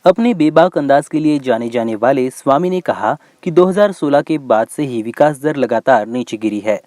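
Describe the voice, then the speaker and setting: Male news presenter with lively intonation. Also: mid-range voice, moderate pace, no echo. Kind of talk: scripted news narration in a bulletin.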